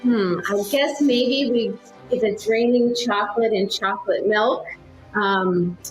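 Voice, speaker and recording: A woman speaking casually and playfully in a gentle, encouraging way as she improvises a story. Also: mid-range voice, measured pace, echoey room, faint music behind, rough recording. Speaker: guest, mother of young author.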